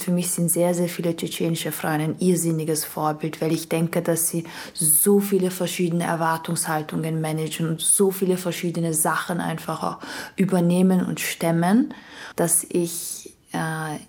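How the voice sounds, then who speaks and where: A woman speaking earnestly in an interview, pausing with fillers as she chooses her words. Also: on the low side, flat delivery, a little mellow. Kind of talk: interview talk.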